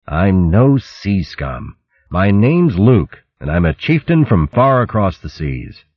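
A man voicing a bold, sturdy mouse chieftain in a storybook, speaking briskly and with command. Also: low voice, sing-song, no echo, muffled recording, heavily acted. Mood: indignant. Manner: brisk, commanding, gruff banter. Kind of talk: audiobook character voice.

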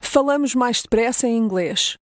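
A woman's voice: a little high, normal speed, no room sound, clean studio sound. A woman with a European Portuguese accent, carefully repeating model phrases for a language course. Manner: clear, careful repetition of phrases.

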